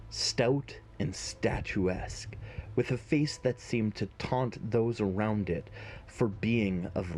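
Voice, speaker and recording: Slow, low-voiced male story narrator. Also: darkish tone, a little hiss or hum, poor audio. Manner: measured, dramatic. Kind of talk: dramatic scripted story narration.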